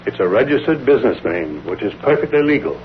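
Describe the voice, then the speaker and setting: An actor with an American accent playing a firm, formal, evasive broker in a 1930s radio drama. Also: male voice, fairly low pitch, very animated, fast, slight background noise. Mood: firm, guarded. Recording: muffled, humming vintage radio recording.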